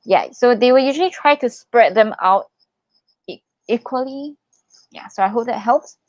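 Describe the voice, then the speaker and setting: A young adult woman hosting a casual live Q&A, reading out questions and giving chatty, expressive, reassuring advice. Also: on the high side, normal speed, no room sound, highs cut off. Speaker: livestream host, international student in Korea.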